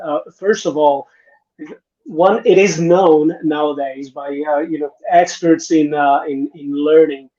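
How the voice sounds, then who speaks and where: Man, middle-aged, raised mellow voice, lo-fi call sound, reflective panel talk. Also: on the high side. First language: Portuguese. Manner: reflective, many restarts and "right?" tags. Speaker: panel guest, innovation consultant. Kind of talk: conversational panel discussion.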